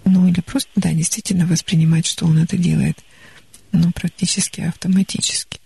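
A woman psychologist counselling a caller on a studio radio call-in show, calm and gently advising. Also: fairly low pitch, fairly quick, creaky, husky.

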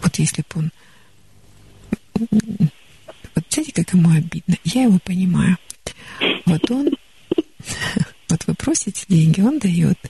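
A woman psychologist counselling a caller on a studio radio call-in show, calm and gently advising. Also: on the low side, takes it slowly, pauses often, slight background noise, rough recording.

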